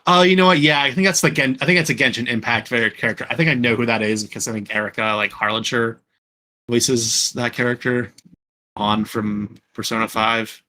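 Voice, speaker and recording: A man hosting a casual group podcast, chatting in a halting, rambling way and often correcting himself. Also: mid-range voice, steady tone, pushed and bright. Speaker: podcast host.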